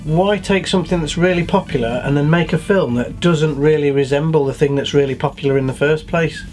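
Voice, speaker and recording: Higher-voiced man chatting, matter-of-fact. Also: a little fast, darkish tone, faint music behind. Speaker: show co-host. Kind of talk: casual opinionated discussion.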